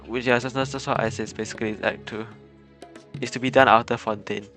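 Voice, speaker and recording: A man's voice: mid-range voice, steady tone, fast talker, over music. Chatty young man streaming a game. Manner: chatty, rambling, laughs often. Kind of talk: casual live game commentary.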